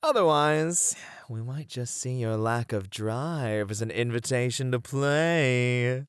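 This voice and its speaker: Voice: medium pitch, clear, resonant tone, dry recording, close to an everyday voice. An actor voicing a suave, dominant demon who flirts and teases in an ASMR roleplay. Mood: teasing. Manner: slow, purring, teasing delivery.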